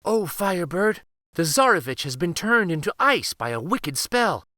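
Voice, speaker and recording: A man's voice: sits high, big pitch swings, normal speed, sharp tone, no room sound, clean studio sound, pitched above the performer's own voice, heavily acted. A man voicing an earnest, brave young woman, the pleading heroine of a storybook fairytale. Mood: earnest appeal.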